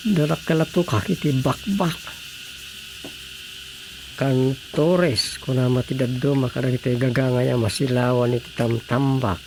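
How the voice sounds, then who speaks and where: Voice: mid-range voice, gravelly, a little mellow, slight background noise, low-quality recording. An actor with an Ilocano accent playing a musing, muttering reader in a comic radio drama, rambling with sighs and interjections. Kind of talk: radio drama comedy monologue.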